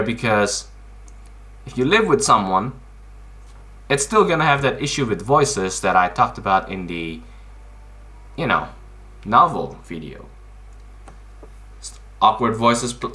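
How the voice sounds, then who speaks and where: A man's voice: mid-range voice, sharp tone. A man giving casual explanatory commentary as a video host, in a blunt, informal, emphatic way, often asking questions and answering them himself.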